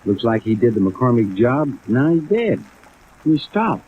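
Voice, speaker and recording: A man's voice: medium pitch, sing-song, measured pace. An earnest, anxious insurance man, tinny sound. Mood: worried, admiring. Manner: folksy, exclamatory, solicitous. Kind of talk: 1950s radio drama acting. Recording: tinny vintage radio, faint rain noise.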